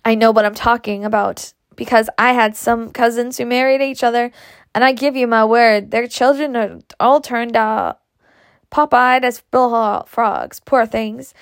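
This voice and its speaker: A blunt and boastful storybook matron of the old South, read out by a woman. The voice is on the high side with some creak at the edges, and it talks on emphatically, proud and stubborn, very close and dry. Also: fairly even intonation, unhurried, no room sound. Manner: emphatic, talkative.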